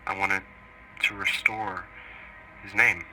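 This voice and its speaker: A man plays a sober interviewee giving testimony in a horror mock documentary. His voice is deep with a very sharp, forceful edge, and he pauses often mid-sentence. Faint noise sits behind him. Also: level tone, full character voice, poor audio. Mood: sober, reflective. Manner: halting, deliberate, pausing mid-sentence. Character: earnest man soberly recounting an expedition to an interviewer.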